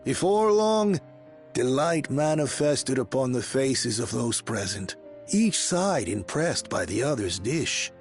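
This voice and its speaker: A man's voice: medium pitch, very animated, faint music behind. An actor playing a solemn storyteller in a fantasy video game, speaking formally and theatrically as he recounts old legends. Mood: storytelling. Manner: formal, measured, theatrical delivery.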